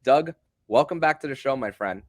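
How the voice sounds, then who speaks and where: A male podcast host talks sports with an emphatic, wordy delivery. His mid-pitched voice is recorded dry, with very little room sound. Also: lively intonation, normal speed, no room sound.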